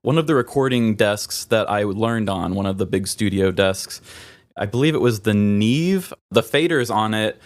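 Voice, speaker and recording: A man's voice: fairly low pitch, lively intonation, moderate pace. A man co-hosting a podcast, telling stories in casual conversation. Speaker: podcast co-host. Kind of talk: casual storytelling chat.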